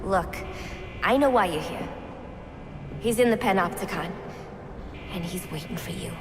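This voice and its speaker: A woman's voice: fairly low pitch, measured pace, lightly acted. Woman as a tough hostage, echoing hall. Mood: defiant, matter-of-fact. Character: tough, defiant female hostage, unfazed. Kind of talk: video game character acting. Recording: long echoing hall, background noise.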